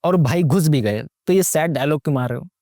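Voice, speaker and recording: A man's voice: a little high, fast, dark tone, dry recording, studio quality. A young man giving casual, sarcastic movie commentary, slangy and mocking, full of rhetorical questions and mixing English into his Hindi. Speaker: YouTube movie reviewer.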